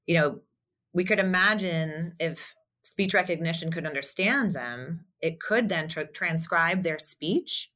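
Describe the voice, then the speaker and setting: Bright-voiced woman speech therapist explaining. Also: low-pitched. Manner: explanatory, measured, with frequent fillers and restarts. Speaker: speech-language pathologist guest. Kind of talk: conversational interview answers.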